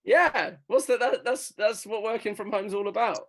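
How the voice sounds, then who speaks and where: A man gives his verdict as a comedy judge from home, relaxed and full of hesitations. His voice sits fairly high for a man. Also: moderate pace. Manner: laid-back, hesitant, laughing. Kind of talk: casual chat. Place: home, on a video call.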